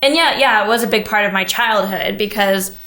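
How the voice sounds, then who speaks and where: A woman's voice: medium pitch, fairly quick, pushed and bright. A young adult woman in a casual podcast chat, with informal, slightly tentative phrasing. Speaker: podcast panelist. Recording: roomy, echoing room sound.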